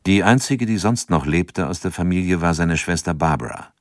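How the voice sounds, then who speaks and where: Calm, measured male narrator, deep voice, scripted radio drama. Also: very noisy.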